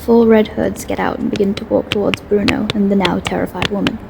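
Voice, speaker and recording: A woman's voice: medium pitch, little pitch movement, a little fast, vocal fry, very noisy. A woman reading scripted story narration for an audio drama.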